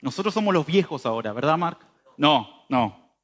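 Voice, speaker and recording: Higher-voiced Uruguayan preacher with a northern border accent. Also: male voice, animated, moderate pace. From northern Uruguay, near the Brazilian border. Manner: informal, addresses listeners directly, repeats phrases for emphasis. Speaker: preacher at a youth church meeting. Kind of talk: conversational sermon.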